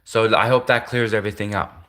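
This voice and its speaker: Nasal, bright-toned man from Canada, casual livestreamer answering chat, repeats himself. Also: lowish voice, fairly even intonation. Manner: halting, repeats himself, restarts phrases.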